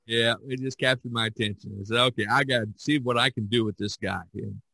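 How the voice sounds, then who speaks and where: Bright-voiced man chatting on a podcast. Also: mid-range voice, fairly even intonation, fairly quick, vocal fry, no room sound, rough recording. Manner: rambling, jokey. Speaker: podcast host. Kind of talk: casual chat about painting.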